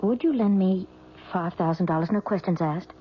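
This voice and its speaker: An actress playing a polite young woman in trouble in a 1970s radio drama, speaking quietly, earnestly and tentatively. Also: on the low side, moderate pace, slight background noise, lightly acted. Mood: pleading. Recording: dull lo-fi old radio broadcast.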